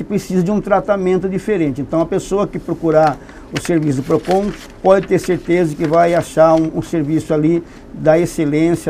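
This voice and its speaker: A man's voice: fairly high pitch, darkish tone, slight background noise. A man from a consumer protection agency, answering interview questions in a TV studio with explanatory, emphatic speech. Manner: explanatory, stresses key points.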